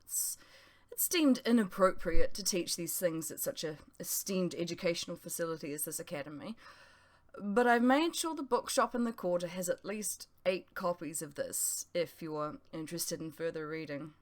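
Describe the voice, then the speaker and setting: A woman voicing a composed, playful female academy teacher in an audiobook, measured and gently instructive. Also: on the low side, lively intonation, close to an everyday voice. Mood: coy. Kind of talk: dramatised audiobook dialogue.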